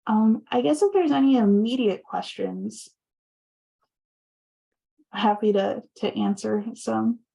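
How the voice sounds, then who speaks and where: Woman presenter kinda hoarse, gravelly fry, lots of ums and nervous little laughs, video call. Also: fairly high pitch, slow, pauses often, rough recording. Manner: soft-spoken, frequent ums and nervous laughs. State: slightly hoarse from long talking. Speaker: guest speaker. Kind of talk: presentation wrap-up and casual chat on a video call.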